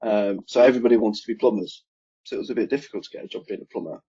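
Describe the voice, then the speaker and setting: A man in his thirties with an English Midlands accent, explaining his work as an air conditioning engineer in a relaxed interview over a video call. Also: on the low side, racing, husky. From England. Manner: relaxed, explains in detail with many ums. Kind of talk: casual interview conversation. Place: home room, video call. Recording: dull lo-fi video call audio.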